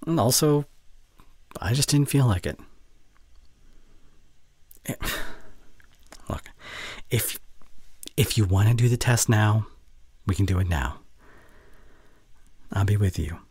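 A man playing a young, anxious but tender boyfriend in an intimate ASMR roleplay, speaking gently and reassuringly in a husky, often whispery voice. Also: lowish voice, slow pace, pauses often, no room sound. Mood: tender, nervous.

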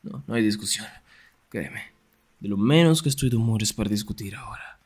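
A man acting a young, sarcastic and jealous best friend in an ASMR roleplay, teasing in a breathy voice that often drops toward a whisper. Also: fairly low pitch, a little fast, darkish tone. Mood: sarcastic, exasperated.

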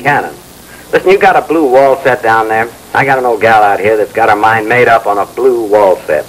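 An American actor playing a harried, polite telephone installer who speaks in a hesitant, placating, folksy way. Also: male voice, lowish voice, fairly quick, a bit bright, slight background noise, lightly acted, low-quality recording. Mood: sheepish. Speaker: actor in a training film. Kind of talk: 1960s training film acting. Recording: old film soundtrack, music behind.

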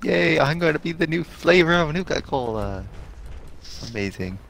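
A man's voice: fairly high pitch, lively intonation, normal speed, creaky, a bit bright. A man streaming a game, chatting casually in a playful, rambling way. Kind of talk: casual gaming chat.

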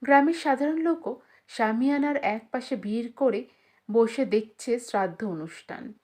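A woman's voice: on the high side, normal speed, darkish tone. A woman reading a literary short story aloud as a scripted narration.

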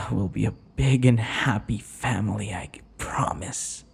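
Breathy, gravelly vampire lover acted by a man. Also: on the low side, a little mellow, exaggerated voice. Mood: obsessive, tender. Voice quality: breathy, often dropping into whispers. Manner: taunting, slow, drawn-out endearments. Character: possessive, obsessive male vampire captor and would-be lover. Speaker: audio roleplay voice actor. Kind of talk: intimate ASMR roleplay acting.

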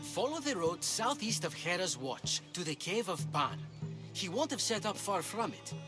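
An actor playing an earnest, anxious ancient Greek physician's apprentice in a video game, with a polite, slightly flustered manner. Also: male voice, high-pitched, big pitch swings, normal speed. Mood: polite, helpful. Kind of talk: dramatic video game acting. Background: music.

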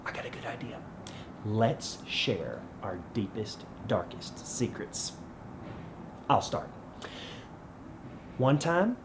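A man's voice: medium pitch, darkish tone, slight background noise, voice pushed down. A man in his thirties voicing a nervous, over-friendly prison cellmate who gushes and confides, in a comedy monologue. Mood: eager, sheepish. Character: nervous, chatty, oversharing male convict, over-friendly cellmate. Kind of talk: sketch comedy character monologue. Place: small indoor room.

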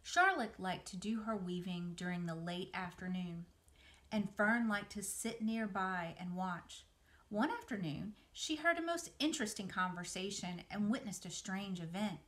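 A woman's voice: mid-range voice, measured pace, fairly bright tone. A woman in her forties narrating a children's book indoors as an educator. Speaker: museum educator, reader. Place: small room by a window, indoors.

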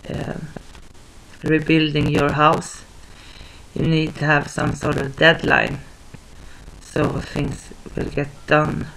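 A young woman, speaking in English, talks to a webcam at home. Her delivery is slow and flat, and her voice has a gravelly edge. She pauses often, restarts her sentences and fills the gaps with ums. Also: low-pitched, rough recording. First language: Swedish. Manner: hesitant, halting, many fillers and restarts. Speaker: vlogger. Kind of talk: casual talk to camera. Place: small living room at home, indoors. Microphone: webcam at desk distance.

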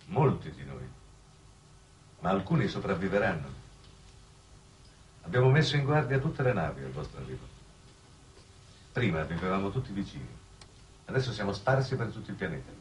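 An actor playing a weary, resigned exile from Earth in a 1960s television drama, speaking in a measured, grave way. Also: male voice, low voice, sing-song. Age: middle-aged. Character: weary, resigned, fatalistic man exiled on another planet. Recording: noisy old 1960s TV broadcast soundtrack.

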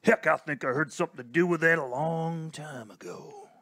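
A man voicing a gruff, folksy space cowboy with a drawl in a live radio play reading. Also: fairly high pitch, normal speed, a bit bright. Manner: folksy drawl, says pards and shoot. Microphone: headset.

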